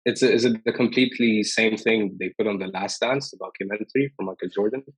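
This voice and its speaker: A man's voice: on the low side, fast talker, a crowd in the background, rough recording. A man interviewing someone casually, with informal, hesitant phrasing.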